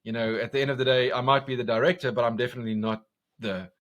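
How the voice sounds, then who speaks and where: A South African man with an Afrikaans accent, a guest in an interview, talking loudly and intensely with lots of restarts. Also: mid-range voice, steady tone, fast, highs cut off. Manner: loud, intense, passionate, many restarts. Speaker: guest, commercial director. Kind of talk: casual interview conversation.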